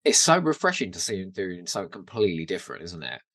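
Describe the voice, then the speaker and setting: A British man co-hosting a podcast, chatting casually and enthusiastically about television, quick to laugh. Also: low voice, big pitch swings, fairly bright tone, dry recording, clean studio sound. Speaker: podcast co-host. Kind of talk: casual TV rewatch chat.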